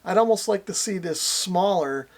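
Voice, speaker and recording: A man's voice: high voice, expressive, normal speed. A middle-aged American man giving a casual product review to camera as a radio reviewer. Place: small indoor workshop at a radio bench.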